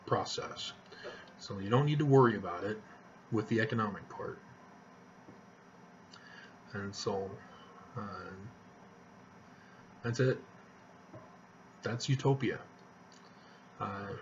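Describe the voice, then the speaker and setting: A man's voice: lowish voice, dull audio, slight background noise. A middle-aged man talking casually to camera from a small living room, rambling and earnest, with restarts and chuckles. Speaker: vlogger. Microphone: webcam at desk distance.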